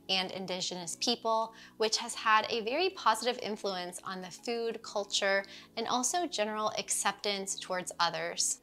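A woman's voice: mid-range voice, pushed and bright. A woman in her thirties giving upbeat, scripted travel narration as a vlogger, recorded on a lapel mic in a small living room. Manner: upbeat, clear presenter delivery.

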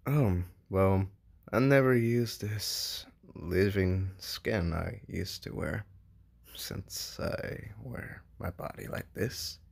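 A man voicing a shy, smitten young demon in human disguise, gentle and hesitant, in an amateur comic dub. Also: low-pitched, takes it slowly, vocal fry, husky, full character voice. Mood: shy, affectionate.

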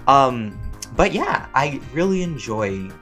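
A man with a mid-range voice talks in a casual, rambling way, like a voice actor fielding questions from listeners. His manner is playful and chatty, with animated emphasis. Music plays behind him. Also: medium pitch, slow.

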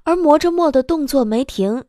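A woman narrating a scripted audio drama in an expressive storytelling style. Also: high voice, moderate pace, dry recording, clean studio sound.

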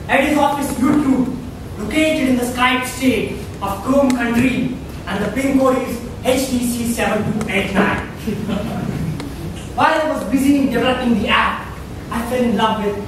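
A young man with an Indian accent and a high voice tells a tall tale as a prepared speech. He delivers it theatrically, with emphatic phrases and dramatic pauses. He is far from the mic in a very echoey room with clear background noise. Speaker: club speaker. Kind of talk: rehearsed comic storytelling speech. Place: meeting room with a small audience. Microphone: far camera. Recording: echoey room, distant camera mic, noisy.

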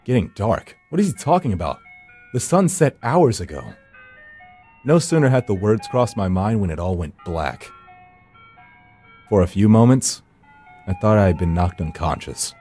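A man's voice: lowish voice, lively intonation, moderate pace, long pauses, dark tone, husky, soft background music. A man reading first-person horror narration for a visual novel in a brooding, theatrical storytelling manner.

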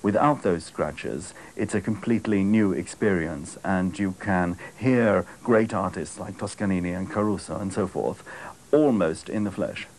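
A middle-aged man giving his opinion in an interview as a critic, with expressive phrasing. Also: deep voice, measured pace, rough recording. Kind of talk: interview commentary. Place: indoors, seated before curtains.

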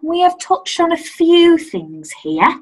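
A woman playing a patient, polite couples counsellor in a comic radio play, measured and diplomatic. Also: very high-pitched, very animated, a little mellow, heavily acted. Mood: soothing, diplomatic.

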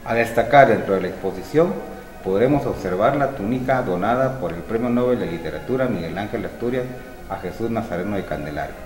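A middle-aged man, a museum spokesman explaining an exhibition in a measured, informative way. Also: fairly low pitch, animated, a little mellow. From Guatemala. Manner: measured, informative, with small restarts. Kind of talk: explanatory museum tour talk. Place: museum hall with exhibits, indoors. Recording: echoey hall with music behind. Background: music.

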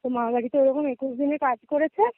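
Young female caller, West Bengal Bengali accent, high register, casual storyteller, tinny phone audio. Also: steady tone, fast talker, no echo. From West Bengal, India. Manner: conversational, checks in with listener often. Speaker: guest caller. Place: remote call, dry sound.

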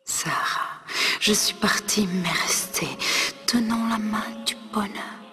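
A woman performing her own poem as a dramatic recitation, breathy and often half-whispered, expressive, with long pauses between short phrases. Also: mid-range voice. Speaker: poet performing own work. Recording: long reverb, music underneath. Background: ney flute music.

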